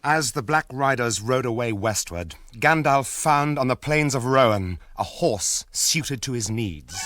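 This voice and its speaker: A man giving solemn, measured, scripted narration for a fantasy radio drama. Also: on the low side. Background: music.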